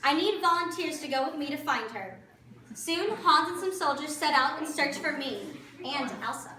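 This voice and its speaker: A woman's voice: high voice, lively intonation, moderate pace, pushed and bright. A woman playing an earnest, romantic young princess, narrating her adventure expressively as a story for children. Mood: storytelling. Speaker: costumed storyteller performer. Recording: distant, echoey room with audience chatter.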